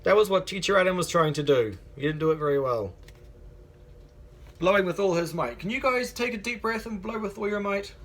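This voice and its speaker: A man's voice: high-pitched, expressive, fairly quick, fairly bright tone. A man teacher reading a picture book aloud to young children, encouraging them and asking them questions as he goes.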